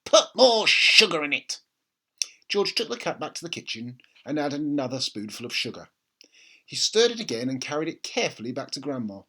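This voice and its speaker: A man's voice: mid-range voice, very animated, a little fast, fairly bright tone. A man narrating a children's book expressively, as a storybook reader.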